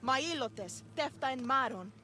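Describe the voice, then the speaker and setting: An actress playing a pious, bitter farm owner, complaining self-righteously in a video game cutscene. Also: female voice, high voice, expressive, moderate pace, sharp tone, a little hiss or hum. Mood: scornful, pious.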